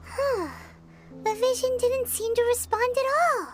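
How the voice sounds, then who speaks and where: A woman voicing a tiny floating female companion in a game, excitable and blunt, with squeaky childlike exclamations. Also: measured pace. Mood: curious. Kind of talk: video game cutscene dialogue. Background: game music.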